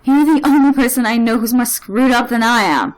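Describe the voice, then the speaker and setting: An actress playing a superhero's affectionate, teasing young girlfriend, a model, in an animated comic. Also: fairly high pitch, brisk, soft background music, natural voice.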